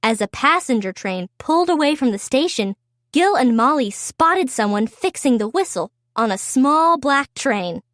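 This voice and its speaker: Female children's storyteller: high voice, very lively intonation, unhurried, expressive. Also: dry recording, clean studio sound. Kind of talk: animated read-aloud storytelling for young children.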